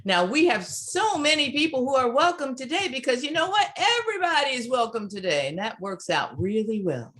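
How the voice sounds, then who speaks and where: A woman welcoming a congregation to a church service, speaking in deliberate, separated phrases. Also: fairly high pitch, a bit bright. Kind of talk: warm spoken congregational welcome.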